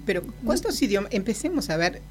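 A woman with a Rioplatense accent interviewing a guest, firing off quick follow-up questions with plain curiosity. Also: medium pitch, very animated, a little mellow, slight background noise. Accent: Rioplatense Spanish. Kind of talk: casual interview.

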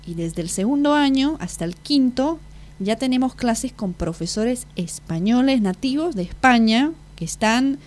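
Woman in her twenties, hesitant. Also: medium pitch. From Slovakia. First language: Slovak. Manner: hesitant, searching for words, self-correcting. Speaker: exchange student guest. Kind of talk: casual interview answers.

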